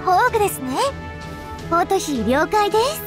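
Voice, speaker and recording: A woman's voice: very high voice, big pitch swings, normal speed, music in the background. A woman playing a young, sweet, clingy dragon princess in a mobile game, very polite and girlish with theatrical, coquettish delivery. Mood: playful, polite. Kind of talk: Japanese mobile game character voice acting.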